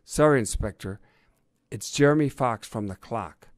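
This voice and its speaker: A man's voice: medium pitch, normal speed, no room sound, voice pushed up, lightly acted. A man voicing an eager, insistent fox newspaper reporter in a storybook tale. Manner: insistent, excitable.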